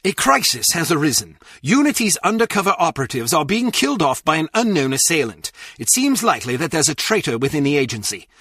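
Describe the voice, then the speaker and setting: An actor playing a stern, condescending spy agency official, delivering formal, clipped mission briefings in a video game. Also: male voice, on the high side, big pitch swings, fast talker, few pauses, fairly bright tone, breathy edge, dry recording, studio quality, exaggerated voice.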